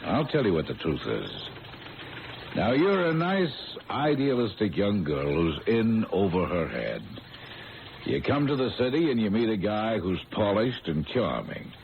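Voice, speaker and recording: A middle-aged man playing a skeptical, patronizing veteran police sergeant in an old-time radio drama, with folksy, drawn-out emphasis. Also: on the low side, wildly swooping pitch, creaky, exaggerated voice. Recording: tinny, noisy old radio broadcast.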